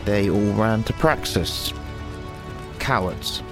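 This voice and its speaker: Irritable fantasy advisor, lowish voice, sardonic, blunt, protective, audio drama. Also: male voice, takes it slowly, music in the background. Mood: grave, frustrated. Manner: sarcastic, blunt.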